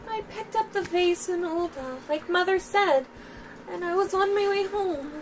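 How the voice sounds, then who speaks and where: A woman's voice: extremely high pitch, unhurried, slight background noise, voice pushed up, poor audio. A woman voicing a timid, frightened young girl who speaks meekly in short, hesitant phrases. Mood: scared, meek.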